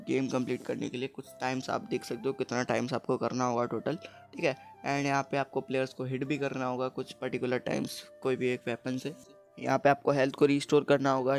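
A young Indian man with an Indian accent walking viewers through a mobile game event in a voice-over, mixing Hindi and English and often checking in with "ठीक है". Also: medium pitch. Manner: steady explanatory, frequent "ठीक है" check-ins, Hindi-English code-mixing. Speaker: gaming YouTuber. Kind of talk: screen-recorded game event walkthrough.

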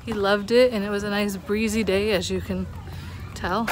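A woman's voice: medium pitch, steady tone, moderate pace, background noise. A woman in her thirties chatting casually to a phone held at arm's length while walking outside, in a rambling, hesitant, conversational way. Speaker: vlogger, mother. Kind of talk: casual outdoor vlog chat. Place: outdoors on breezy walkways and roadsides.

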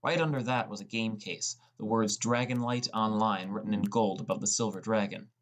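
A man's voice: lowish voice, fairly even intonation, normal speed, lightly acted. A man as a teenage boy narrator. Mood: narrating. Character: sullen, defensive teenage boy narrating his story. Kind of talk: scripted audiobook narration.

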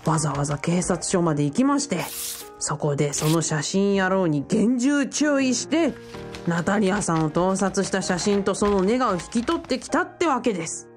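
A woman's voice: medium pitch, expressive, normal speed, soft background music, lightly acted. A woman playing a polite, eager young man who works in a bookshop, deferential and explanatory, in a radio drama. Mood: earnest, explanatory. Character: polite young man, bookshop part-timer, eager self-styled fiancé.

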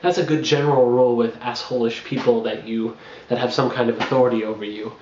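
A man's voice: mid-range voice, fairly even intonation, quick pace, a little mellow, a little hiss or hum. Young man rambling advice, roomy lo-fi. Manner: rambling, frequent fillers and restarts, laughs between points. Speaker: travel blogger. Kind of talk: casual advice vlog. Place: small bedroom with bare wall. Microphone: camera on a makeshift tripod. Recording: roomy, dull lo-fi camera audio.

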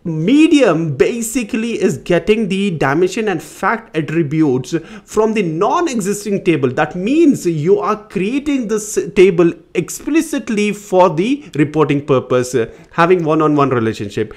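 A man's voice: high voice, measured pace, hardly any pauses. A man in his thirties hosting his own channel, explaining data concepts to camera in an eager, emphatic way from a small home studio. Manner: eager, emphatic, lively delivery with hand gestures. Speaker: data educator and channel host. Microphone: studio mic on boom beside him.

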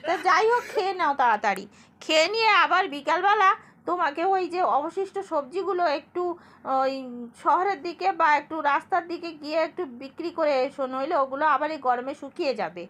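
A woman's voice: very high voice, lively intonation, brisk, a bit bright. A woman voicing a fretful, chiding village farm wife and mother in an amateur cartoon dub. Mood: pleased, homely. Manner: homely, chiding, fussy. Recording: music under the voice.

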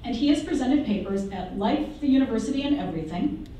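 A woman's voice: fairly high pitch, lively intonation, fast talker, warm tone, a little hiss or hum. A woman moderating a symposium panel in a university classroom, introducing the next speaker with light jokes. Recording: echoey classroom from far off.

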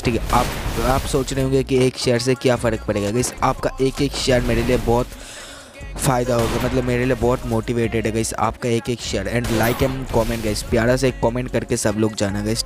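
A young man with a mid-pitched voice and a sore throat, chatting his way through gameplay. Loud game music plays under his voice. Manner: chatty, repeats "guys" a lot. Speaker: gaming channel host. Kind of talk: gameplay commentary.

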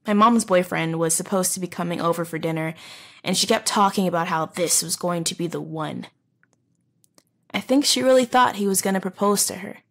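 A woman playing a wounded, wry, guarded teenage runaway in a halting, confessional audio drama monologue. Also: fairly low pitch, fairly bright tone. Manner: halting, conversational, with pauses and wry asides. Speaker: narrator of audio horror story. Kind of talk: first-person dramatic monologue, confessional storytelling.

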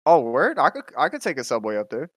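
A young man from the DMV area on an online call, trolling with a deadpan, filler-heavy delivery. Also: mid-range voice, quick pace, no echo, studio quality. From DMV area, USA. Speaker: caller on a stream. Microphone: online call mic.

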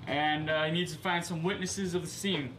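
A teenage boy answering questions casually, with hesitant fillers and quick agreement. His voice sits a bit high, with faint room ambience behind. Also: fairly even intonation, noisy background. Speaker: student answering. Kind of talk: casual explaining. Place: basement room.

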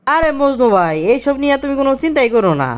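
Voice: high voice, big pitch swings, quick pace. Casting: hot-headed, cheeky young village schemer played by an actor, rural Bangladeshi accent, colloquial and argumentative, comic cartoon. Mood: chatty, boastful. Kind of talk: comic Bengali cartoon dialogue.

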